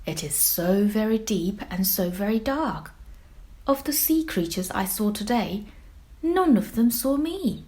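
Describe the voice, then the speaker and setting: A woman voicing an earnest, childlike young squid in a children's storybook, sweet and expressive. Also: mid-range voice, a little slow, pitched above the performer's own voice. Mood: worried, lonely.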